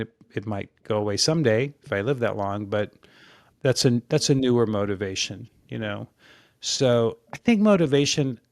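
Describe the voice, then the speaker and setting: A man in his sixties, a podcast guest, talking in a relaxed, rambling way about his fitness habits, often restarting his sentences. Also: medium pitch, dry recording, clean studio sound. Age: 60s. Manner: rambling and reflective, with frequent restarts. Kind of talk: casual interview chat.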